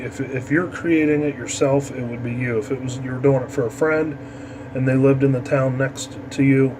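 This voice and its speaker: A man explains a procedure step by step in an informal, halting tutorial manner, like an instructor walking someone through it. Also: medium pitch. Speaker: amateur radio instructor. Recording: noisy, steady background hum.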